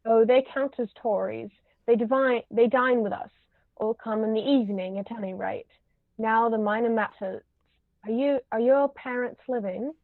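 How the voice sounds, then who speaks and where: Imperious society matriarch played by a woman, high-pitched and pompous, stage comedy read-through, roomy muffled sound. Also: moderate pace, lightly acted. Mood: snobbish, disapproving. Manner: pompous, formal, pronouncing judgments. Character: imperious, haughty older aristocratic English lady, snobbish interrogator. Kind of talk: amateur table reading of a stage comedy. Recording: roomy, muffled low-quality recording.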